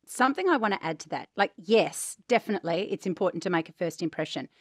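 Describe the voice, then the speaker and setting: A woman's voice: fairly low pitch, animated, fast, no echo, studio quality. An Australian woman co-hosting a podcast about voice acting, talking in a chatty way and often checking in with "right?". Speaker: podcast co-host, voice actor. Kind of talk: casual chat.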